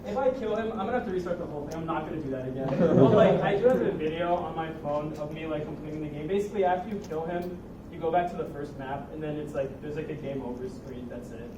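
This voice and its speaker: A young man casually demonstrating his student project in an informal, halting way, using "like" a lot. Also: fairly high pitch, background noise. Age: young adult. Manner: informal, halting explanation peppered with "like". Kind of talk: casual live demo. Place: busy echoey indoor showcase. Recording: roomy, distant mic amid loud crowd chatter.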